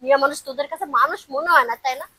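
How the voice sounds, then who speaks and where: A woman's voice: high-pitched, very fast, pushed and bright, dry recording. A woman playing a feisty, insistent female delivery worker in an amateur melodramatic skit. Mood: furious, scolding. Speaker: actor in an amateur drama video.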